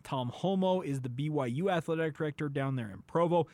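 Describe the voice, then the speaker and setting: A man's voice: a little high, brisk, a little mellow, no echo. An American man hosting a sports radio show in a casual, conversational style. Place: radio studio.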